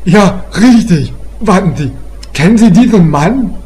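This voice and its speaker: Casting: man playing brash fat cop for comedy audio play, fairly high voice, chummy, insistent, slight noise. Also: animated, unhurried, darkish tone. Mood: eager. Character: brash, jovial, pushy fat male police official. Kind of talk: amateur comedy audio drama. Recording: faint background noise.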